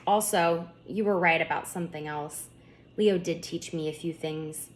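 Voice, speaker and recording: A woman voicing an excitable, curious young schoolgirl in a storybook, eager and earnest. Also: lowish voice, moderate pace, slight background noise, lightly acted. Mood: excited. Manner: eager, wide-eyed, earnest.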